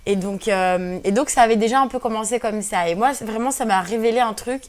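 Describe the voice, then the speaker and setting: A woman's voice: on the high side, fairly quick, a little mellow. A woman in her twenties from Algeria, a native Berber speaker, answering interview questions earnestly with many hesitations and fillers. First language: Berber (Kabyle). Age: 20s. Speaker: guest activist.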